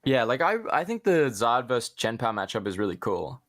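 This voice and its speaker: A man's voice: mid-range voice, big pitch swings, a little fast, fairly bright tone, no echo, studio quality. A young man, a guest player, chatting casually about a match over a video call with lots of fillers. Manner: laid-back, many fillers.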